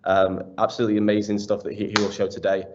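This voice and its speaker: A man's voice: lowish voice, completely flat delivery, fast. Chatty man hosting a webinar. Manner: upbeat, chatty, with fillers. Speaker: webinar host. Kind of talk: casual introduction. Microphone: video call. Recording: muffled online call audio.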